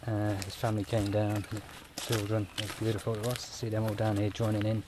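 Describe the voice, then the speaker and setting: An older Australian man chatting casually as he vlogs from a bush campsite, relaxed and rambling with fillers. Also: fairly low pitch, quick pace, background noise. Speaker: outdoor gear vlogger. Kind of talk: casual vlog narration. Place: outdoors in bushland at a trail shelter. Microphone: action camera on the body or nearby. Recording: outdoor camera audio with clear bush ambience.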